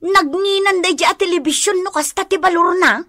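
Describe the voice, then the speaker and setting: A woman playing a nosy, excitable neighbour in an Ilocano radio comedy drama, exclaiming with an Ilocano accent. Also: very high voice, brisk. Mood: excited.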